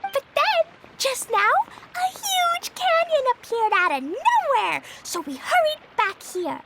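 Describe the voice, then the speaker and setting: Game casting: bubbly little girl adventurer, extremely high and lively, noisy capture. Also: slow pace, exaggerated voice. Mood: breathless, excited. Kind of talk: animated video game voice acting.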